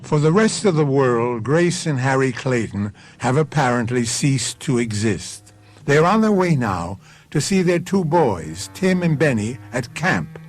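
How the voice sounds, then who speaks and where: A man hosting a radio mystery, giving ominous, deliberate, theatrical scripted narration. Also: mid-range voice, big pitch swings. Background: music.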